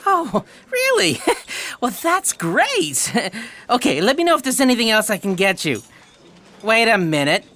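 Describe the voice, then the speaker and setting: A woman's voice: on the high side, sing-song, a little slow, a bit bright. A woman playing a put-upon, grudging waiter with exaggerated sarcasm and mock politeness in a comedic audio play. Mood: mock polite. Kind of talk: comedic sci-fi audio theatre acting.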